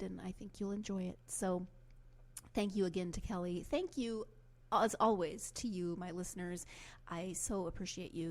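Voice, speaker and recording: A woman podcast host wrapping up her show, enthusiastic and chatty, a bit hoarse from a cold. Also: fairly low pitch. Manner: warm-hearted, enthusiastic, chatty asides. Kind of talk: solo podcast outro.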